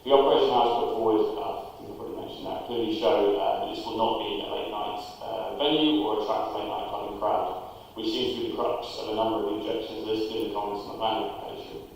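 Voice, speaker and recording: A man's voice: on the low side, steady tone, quick pace, creaky, darkish tone, slight background noise. A man giving a prepared, earnest statement to a planning committee, with frequent ums and uhs. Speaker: applicant's supporter speaking at a planning committee. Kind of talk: prepared public statement, partly read aloud. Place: large council chamber. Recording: echoey council chamber, distant mic.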